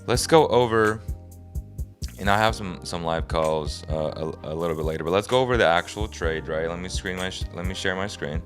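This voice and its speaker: A young adult man hosting a sports podcast, hoarse and raspy from a cold, giving frustrated, emphatic commentary. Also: low voice, fairly quick, soft background music. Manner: frustrated, emphatic, thinking aloud. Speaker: podcast host.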